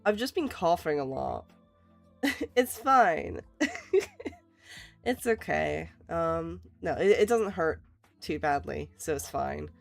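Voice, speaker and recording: A woman, hoarse and raspy, chatting casually while she draws on a stream and laughing often. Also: animated, takes it slowly, pauses often, faint music behind. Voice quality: raspy, lower than usual. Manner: laughs often, reassuring, rambling. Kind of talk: casual chat while drawing.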